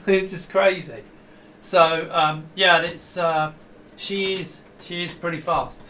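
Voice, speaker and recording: A man's voice: high voice, fairly even intonation, very slow, bright, forceful tone. A middle-aged man giving a casual, enthusiastic hands-on demo to camera, rambling and often breaking off mid-sentence. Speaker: host demonstrating a vintage computer. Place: small indoor home room with computer gear.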